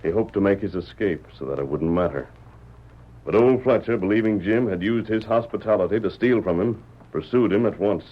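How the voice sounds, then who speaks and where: An actor playing a calm, commanding western hero who tells a tale in measured, deliberate radio-drama style. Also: male voice, on the low side, lightly acted. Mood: grave, steady. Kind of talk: old-time radio drama acting. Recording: dull vintage radio recording.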